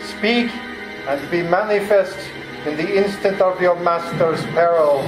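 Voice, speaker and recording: A man playing an ancient, commanding vampire count in an old-time radio drama, chanting in a portentous way. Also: high-pitched. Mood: dark incantation. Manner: slow, incantatory, portentous. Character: ancient male vampire count, commanding and lonely, summoning darkness. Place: live performance in a public library. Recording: roomy live stage, music behind.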